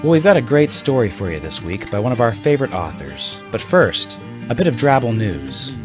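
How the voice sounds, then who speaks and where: A man's voice: mid-range voice, sing-song, normal speed, over music. A man hosting a scripted comedy podcast with a wry, mock-serious delivery. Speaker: podcast host.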